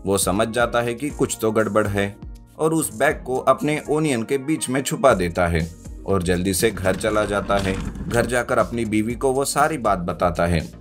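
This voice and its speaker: Low-pitched male storyteller over music. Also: animated, unhurried. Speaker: narrator. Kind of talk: scripted children's story narration. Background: background music.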